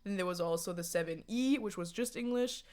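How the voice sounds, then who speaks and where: A woman's voice: medium pitch, brisk. A woman in her twenties chatting on a livestream from a home room into a desk condenser mic. She answers viewer chat casually and laughs often. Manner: giggly, laughs often, jokey and animated. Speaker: streamer. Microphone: USB condenser desk mic.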